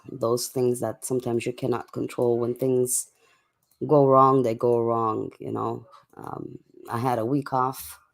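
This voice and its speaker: Gravelly, coughed-out livestreamer with a hoarse voice. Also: mid-range voice. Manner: laid-back, chatty, addressing viewers. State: sick with a cough, voice getting hoarse. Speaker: livestream host. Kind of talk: casual late-night livestream chat.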